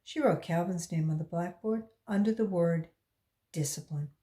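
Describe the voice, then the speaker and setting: A woman reading a children's story aloud as a volunteer reader, in animated storytelling style. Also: lowish voice, normal speed, echoey room.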